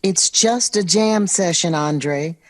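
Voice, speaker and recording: A slightly low voice plays a middle-aged mother in a modern audio drama. She is loving, playful and reassuring, and sounds natural. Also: slow pace. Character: warm, reassuring, playful mother of a grown son.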